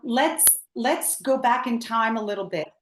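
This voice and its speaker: A woman's voice: a little high, unhurried, slight background noise. Chatty woman host in a roomy space. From Canada. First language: Ukrainian. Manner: chatty, ends points with "right?". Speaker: podcast host. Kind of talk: conversational interview. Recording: roomy echo.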